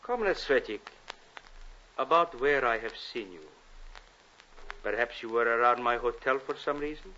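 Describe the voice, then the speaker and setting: An actor playing a cold, menacing Soviet agent. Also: male voice, mid-range voice, moderate pace, pauses often, sharp tone, close to an everyday voice. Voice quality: clipped, coldly commanding. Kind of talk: 1950s radio drama acting. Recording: dull old radio broadcast with hiss.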